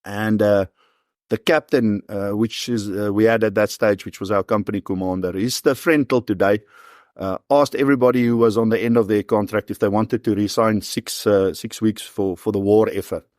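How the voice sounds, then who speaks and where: A man's voice: fairly low pitch, fairly even intonation, moderate pace, darkish tone, dry recording, clean studio sound. A man in his forties with a South African accent, telling stories in an easygoing, conversational way as an interview guest. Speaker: professional hunter and former soldier, interview guest.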